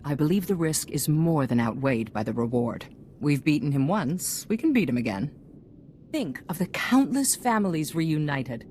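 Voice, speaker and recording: Casting: poised female galactic leader in a sci-fi game, low voice, polished statesmanlike delivery. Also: animated, moderate pace, a little mellow, a little hiss or hum. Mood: confident. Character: poised, self-assured female head of a galactic government. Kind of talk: video game cutscene voice acting.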